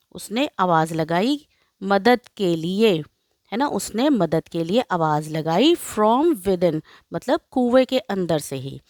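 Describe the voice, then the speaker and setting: A woman's voice: mid-range voice, dry recording, studio quality. An Indian woman teaching English through line-by-line translation, explaining and repeating phrases with frequent check-in tags.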